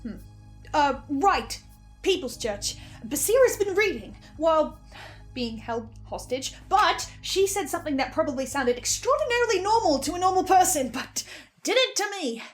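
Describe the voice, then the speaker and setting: A woman giving enthusiastic theorising commentary as a listener, excitable and often interrupting herself. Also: high-pitched, normal speed. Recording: music behind the voice. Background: music.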